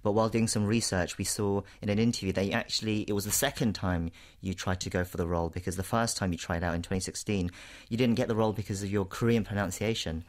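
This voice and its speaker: A man hosts a radio interview from the studio, asking questions in a relaxed conversational way. His voice sits very low. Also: fast talker, few pauses. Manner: conversational, frequent "mm" backchannels. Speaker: radio host. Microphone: studio microphone on a stand.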